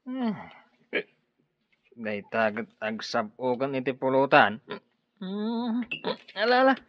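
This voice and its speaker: Animated, bantering tipsy buddy. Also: male voice, mid-range voice, slow pace, no echo, highs cut off. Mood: tipsy, playful. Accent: Ilocano. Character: tipsy, teasing male friend who loves drink. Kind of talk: Ilocano radio drama acting.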